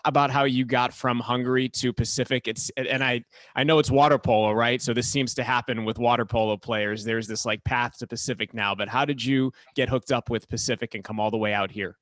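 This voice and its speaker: A man's voice: mid-range voice, fairly bright tone, no echo. A man hosting an interview, asking student-athletes chatty questions. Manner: chatty, fast-talking interviewer. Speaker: host.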